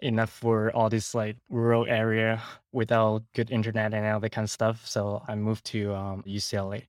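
A man's voice: fairly low pitch, monotone, normal speed, dry recording, clean studio sound. A man with a Hong Kong accent telling his personal story casually as an interview guest. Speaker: podcast guest.

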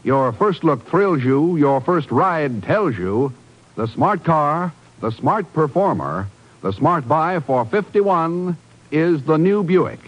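A man's voice: fairly high pitch, lively intonation, slow, lo-fi sound. A man announcing in a polished, emphatic 1950s radio style, reading scripted program and sponsor copy. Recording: band-limited old radio broadcast recording. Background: music.

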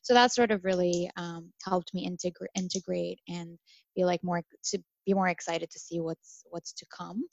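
A woman in her twenties from Syria who grew up in Dubai, a former international student talking casually as a podcast guest, lively and full of fillers. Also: lowish voice, normal speed, gravelly, lo-fi sound. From Syria, raised in Dubai. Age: 20s. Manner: animated, chatty, with many fillers and laughs.

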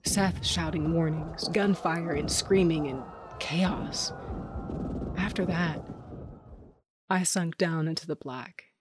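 A woman's voice: low voice, slow, noisy background. An actress playing Tasha, a tough, rage-driven woman cage fighter, narrating in the first person in an audio drama with a brooding, dramatic delivery. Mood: grim, hazy.

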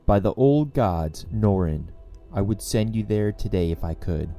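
A man's voice: on the low side, lively intonation, unhurried, mellow, faint music behind. A man voicing a stern, disapproving older alien teacher who lectures wearily and dismissively in a comic dub. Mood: exasperated, stern. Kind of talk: comic dub character acting.

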